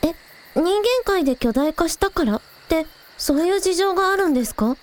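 A woman playing a cheerful, curious young village idol girl, casual and quick to exclaim, in a scripted audio drama. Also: extremely high pitch, expressive. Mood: puzzled, curious.

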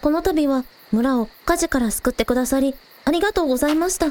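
A woman playing a friendly, excitable young village idol in an audio drama, expressive and shifting between politeness and casual teasing. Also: high-pitched, fast, lightly acted. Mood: polite, grateful. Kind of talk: Japanese audio drama dialogue.

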